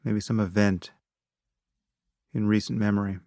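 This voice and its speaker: A man narrating a guided meditation in a measured, instructive manner, speaking in short phrases with long pauses between them. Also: on the low side, level tone, creaky, a little mellow, no echo, clean studio sound.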